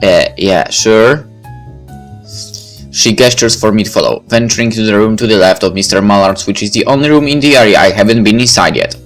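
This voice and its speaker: A man's voice: fairly low pitch, quick pace, few pauses, a bit bright. A man reading first-person visual novel narration aloud, with music behind him.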